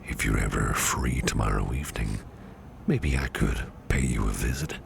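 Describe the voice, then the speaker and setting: Man playing very deep, gravelly, caring boss. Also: normal speed, slight background noise, full character voice. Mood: tender, soothing. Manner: soft, breathy, often half-whispered, soothing murmur. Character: caring, courteous businessman boss, protective and gently flirtatious. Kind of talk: ASMR personal attention roleplay.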